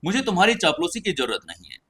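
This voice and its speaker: A man's voice: sits high, huge pitch swings, quick pace, bright, forceful tone, husky, voice pushed up, heavily acted. Man voicing a cold, aloof teenage noble girl. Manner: indifferent, dismissive, cool. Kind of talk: audio story character dialogue.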